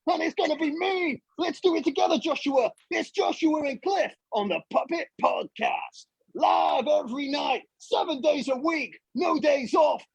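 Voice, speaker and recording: A man's voice: dry recording, highs cut off, full character voice. A man voicing a brash, boastful puppet who plays the ladies' man, with a pushy, emphatic way of talking in a comic interview. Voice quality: high, creaky, raspy. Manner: cocky, pushy, emphatic, repeats himself. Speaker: puppet podcast guest.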